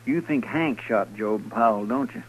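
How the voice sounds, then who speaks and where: An actor playing an earnest, deferential American marshal's helper in an old radio western. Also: male voice, lowish voice, moderate pace, natural voice. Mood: questioning, deferential. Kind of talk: 1950s radio western acting. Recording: very noisy, muffled old radio recording.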